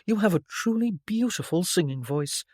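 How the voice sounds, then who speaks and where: A woman's voice: lowish voice, lively intonation, normal speed, a little mellow, no echo, clean studio sound. Woman voicing a suave, teasing young viscount. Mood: gallant.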